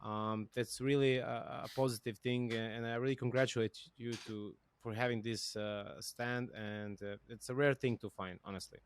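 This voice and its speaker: A man's voice: medium pitch, steady tone, normal speed, few pauses, clean tone. A native Serbian man hosting an interview podcast in English with a Serbian accent, rambling and thinking aloud with fillers. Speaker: podcast host. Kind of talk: conversational interview.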